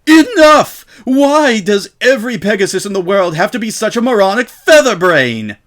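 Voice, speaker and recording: A man's voice: sits high, very animated, moderate pace, fairly bright tone, voice pushed up. A man voicing a haughty, furious female unicorn shop owner who seethes through clenched teeth. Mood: screaming rage.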